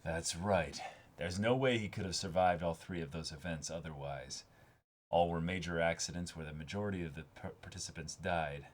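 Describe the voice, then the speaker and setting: A man's voice: sits low, normal speed. A man co-hosting a comic read-along stream, reading dialogue aloud and reacting casually in a jokey, mock-dramatic, sweary way.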